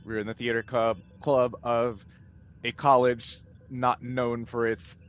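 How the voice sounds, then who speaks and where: A man chatting casually on a panel video call, explaining things in a halting, discursive way. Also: medium pitch, little pitch movement. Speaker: cast member and game master. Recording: noisy video call audio.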